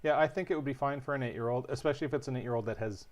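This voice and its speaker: A man co-hosting a board game livestream, calmly walking through setup and rules in a relaxed, explanatory way. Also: medium pitch, rapid-fire. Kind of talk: casual livestream rules teaching.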